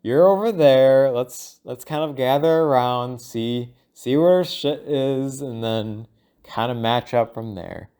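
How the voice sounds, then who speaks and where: A man records a casual solo monologue at an unhurried pace. His pitch sits in a middle range, and he talks loosely and haltingly, as if working out each thought while he speaks. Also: dark tone, smooth. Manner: halting, thinking aloud, loose and informal with profanity; acts out imaginary dialogue. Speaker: solo audio log host. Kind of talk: rambling casual audio monologue.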